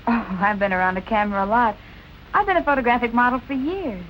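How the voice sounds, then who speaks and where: An actress playing a plucky young photographic model who politely digs for gossip in 1940s film noir style. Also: female voice, a little high, quick pace, slight background noise, lightly acted. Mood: polite, evasive. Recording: dull old film soundtrack, background music.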